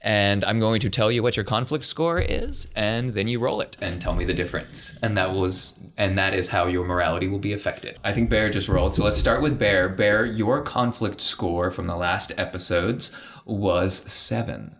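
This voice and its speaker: Low-voiced man hosting a tabletop gaming podcast. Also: moderate pace, fairly bright tone. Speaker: podcast host and game master. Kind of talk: hosting an actual-play tabletop RPG podcast.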